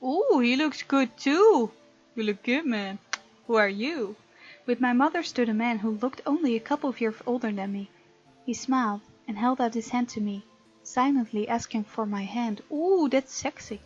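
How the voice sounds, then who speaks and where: A woman's voice: on the high side, expressive, normal speed. A woman hosting a gaming video, reading game text aloud and reacting to the characters in a casual, playful way. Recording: slightly dull sound, music behind. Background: game music.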